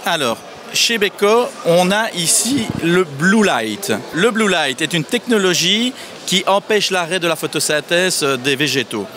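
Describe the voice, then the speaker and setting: A man's voice: high voice, lively intonation, a little slow, noisy background. A man in his thirties, a sales representative explaining a product feature by feature at a trade fair stand. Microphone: handheld microphone held by interviewer.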